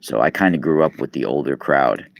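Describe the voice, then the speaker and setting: Very deep-voiced man. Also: expressive, brisk, gravelly. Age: 40s. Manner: rambling, with many fillers and restarts. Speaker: podcast host and radio guest. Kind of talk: casual live-stream chat. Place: East Coast, New York.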